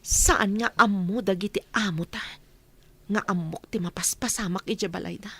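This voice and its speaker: A woman's voice: medium pitch, moderate pace, slightly rough. A woman with an Ilocano accent playing a wronged mother bent on revenge in a radio drama, speaking with intensity. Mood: determined. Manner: determined, intense.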